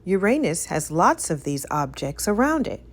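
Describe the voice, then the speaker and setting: A woman reading a rhyming picture book aloud for children in an expressive, sing-song storytelling way. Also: fairly low pitch, moderate pace. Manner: animated, sing-song, careful storytelling delivery.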